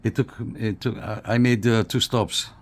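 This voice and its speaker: A man with a fairly low voice talks casually through a hands-on review. He is unscripted and halting, often doubling back to repeat a phrase. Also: steady tone. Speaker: radio reviewer and CB operator.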